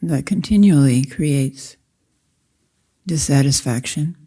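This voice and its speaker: A woman meditation teacher guiding a practice in slow, deliberate short phrases with long pauses. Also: sits low, dark tone, slightly rough. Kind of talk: guided meditation, slow spoken instruction.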